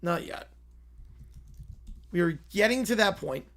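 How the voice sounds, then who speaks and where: A man hosts a live game stream and calls the football play by play with a high, bright voice. His voice is hoarse and worn from long use. He reacts to the action and answers chat between plays. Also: unhurried. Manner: excited, rising commentary on big plays, quieter asides to chat.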